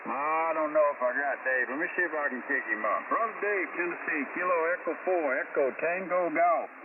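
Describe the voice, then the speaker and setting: A man's voice: fairly high pitch, brisk, far from the mic. Folksy man over tinny, static-filled radio. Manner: folksy, easygoing buddy talk. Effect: heard over amateur radio. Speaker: ham radio net controller. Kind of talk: casual amateur radio chat. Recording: tinny, noisy ham radio transmission. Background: radio static and noise.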